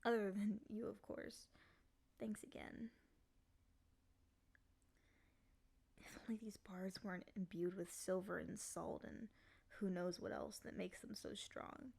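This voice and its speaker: A woman voicing a lonely, clingy female demon in a soft, often whispery voice, coaxing and pleading in an intimate ASMR roleplay. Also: mid-range voice, steady tone, moderate pace, creaky, slightly rough. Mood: longing.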